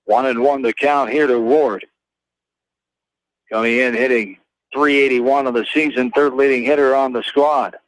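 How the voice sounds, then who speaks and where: An American man calling a baseball game live on the radio as play-by-play announcer, in terse, clipped phrases with pauses. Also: mid-range voice, steady tone, no room sound, low-quality recording.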